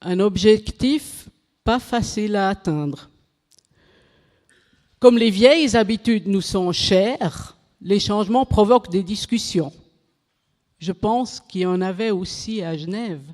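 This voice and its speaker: A woman gives a measured, unhurried conference talk in French, her second language. She stumbles now and then, restarts and corrects her words. Her voice carries a long reverb from the hall around her. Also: mid-range voice, a little mellow. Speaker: conference speaker.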